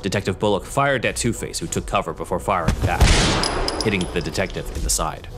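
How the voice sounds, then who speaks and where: A man's voice: low-pitched, brisk. A man reading scripted narration as the narrator of an audio drama. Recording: music behind voice.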